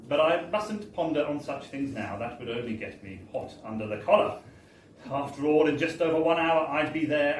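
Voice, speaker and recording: Echoey British man reading a comic story live. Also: mid-range voice. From Britain. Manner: chatty, wry, theatrical storytelling with tag-on phrases. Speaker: reader at a book launch. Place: bar, live event. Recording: echoey live bar, distant mic, faint noise.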